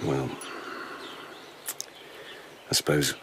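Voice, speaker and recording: An actor playing a proud, jealous court lieutenant in a radio drama, blunt and interrogating. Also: male voice, extremely slow, background noise. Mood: cool, wry.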